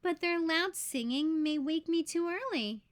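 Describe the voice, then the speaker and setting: A woman's voice: extremely high pitch, expressive, a little slow, voice pushed up. A woman voicing an eager, polite young boy in a children's picture book, speaking earnestly and simply. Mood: politely particular.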